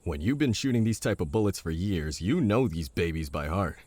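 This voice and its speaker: An actor playing a gruff, crude, cocky war-veteran gunman who talks bluntly and boastfully in an audio drama. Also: low-pitched, animated, normal speed, a little mellow, dry recording. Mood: proud, boastful.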